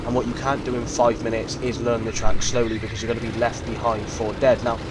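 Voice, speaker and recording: A young man, hoarse and croaky from being unwell, earnestly narrating his own racing footage in detail. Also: medium pitch. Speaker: karting vlogger. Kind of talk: voice-over commentary on race footage. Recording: voice-over over loud kart engine noise. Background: kart engines and vehicle noise.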